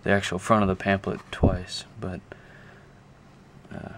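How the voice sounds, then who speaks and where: A man with a low, monotone voice talks casually through a show-and-tell. He is halting and unscripted, thinking aloud as he goes. There is noticeable noise behind him on the amateur camera recording. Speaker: video creator showing a music collection. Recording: clear background noise, amateur camera audio.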